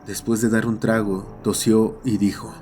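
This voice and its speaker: A man's voice: lowish voice, level tone, measured pace, darkish tone, soft background music. A man reading a scripted horror story as its narrator.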